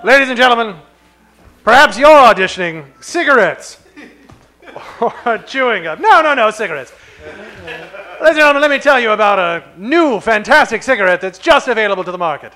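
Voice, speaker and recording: A man's voice: high-pitched, expressive, normal speed, bright, forceful tone. A man playing a comic old-time radio announcer, narrating and announcing with showy delivery. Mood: salesman cheer.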